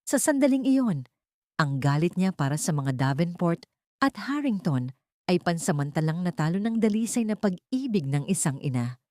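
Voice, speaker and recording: A woman's voice: lowish voice, very animated, moderate pace, warm tone, dry recording, clean studio sound. A woman storyteller reading a scripted dramatic audio story.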